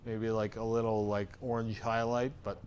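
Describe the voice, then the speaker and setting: A man's voice: lowish voice, level tone, normal speed, a little mellow, slight background noise. A man with a soothing voice hosting a tattoo reaction show, giving frank, opinionated critiques with casual swearing.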